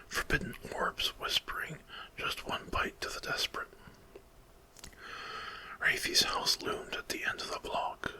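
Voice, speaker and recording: A man narrating a dramatic story in a hushed, breathy whisper, in ASMR style. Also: fairly high pitch, rough recording. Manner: slow, dramatic, hushed reading.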